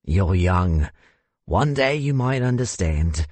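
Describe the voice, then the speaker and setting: A man voicing a courteous, wistful older gentleman with a South African accent and a theatrical, hesitant manner in an audiobook. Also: deep voice, very animated, takes it slowly, no room sound, heavily acted. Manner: theatrical, hesitant, old-fashioned politeness.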